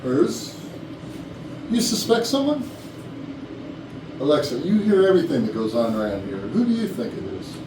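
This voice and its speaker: A man acts out an anxious company boss in a staged comedy reading, with a fairly high male voice. He is bossy and suspicious, heard from a distance in an echoey, noisy room. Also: big pitch swings, normal speed, long pauses. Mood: worried, suspicious. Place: rehearsal room.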